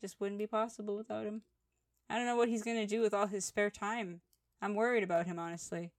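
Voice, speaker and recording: A woman's voice: medium pitch, fairly even intonation, a little fast. A woman co-hosting a podcast in casual chat, giggly and teasing, swearing freely. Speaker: podcast co-host.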